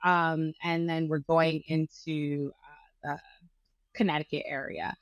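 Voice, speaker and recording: A woman's voice: deep voice, a little slow, clear, resonant tone, no echo. A woman from Brooklyn, a dentist in her thirties, talking energetically in a casual interview with lots of fillers. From Brooklyn, New York. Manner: energetic and chatty, with frequent fillers. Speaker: dentist guest.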